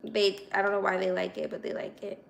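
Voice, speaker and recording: Raspy young American woman, casual livestreamer. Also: mid-range voice, completely flat delivery, fairly quick, a little hiss or hum. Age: 20s. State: slightly hoarse voice. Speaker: livestream host.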